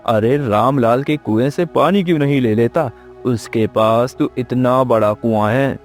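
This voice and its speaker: A man's voice: mid-range voice, measured pace, soft background music, close to an everyday voice. A man voicing a plain-spoken, supportive villager in a Hindi moral-story cartoon, direct and encouraging. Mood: questioning.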